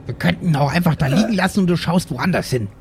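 A man's voice: a little high, quick pace, a little mellow, slight background noise. A man role-playing casually in an improvised tabletop game, talking in a challenging, questioning way.

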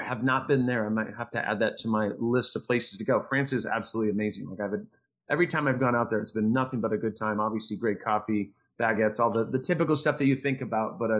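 A male podcast host who talks at a rapid clip. His style is casual and chatty, with an effusive, wordy delivery and a mid-range voice. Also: mid-range voice, fairly bright tone. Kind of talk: casual interview chat.

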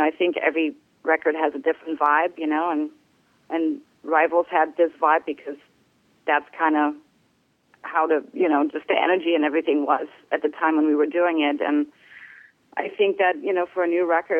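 A woman from Germany, a bass player, answering interview questions in a relaxed, hesitant way with many fillers. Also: no room sound, phone-quality sound. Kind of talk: casual phone interview.

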